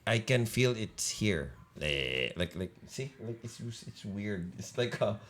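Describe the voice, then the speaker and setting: Deep-voiced Filipino man, halting chat. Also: very animated, fairly bright tone. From Philippines. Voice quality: deep, croaky voice. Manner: hesitant, halting, many restarts. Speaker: podcast co-host. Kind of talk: casual chat.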